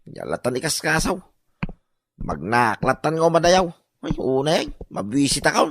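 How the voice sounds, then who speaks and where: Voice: mid-range voice, big pitch swings, moderate pace, no room sound, clean studio sound. An actor playing an exasperated, grumbling worker fed up with nosy neighbours in an Ilocano comedy radio drama. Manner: grumbling, exclaiming.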